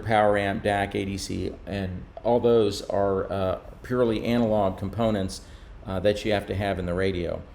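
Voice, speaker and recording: A man's voice: fairly low pitch, darkish tone. A man giving an informal, explanatory technical slide presentation as a company presenter. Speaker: radio manufacturer's presenter.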